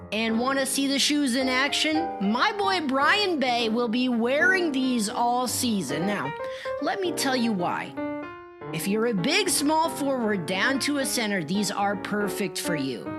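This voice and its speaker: A cocky young online shoe reviewer. The voice is high and very animated, with showy, slangy emphasis. Music plays clearly behind the hype. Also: female voice. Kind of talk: hyped online video review.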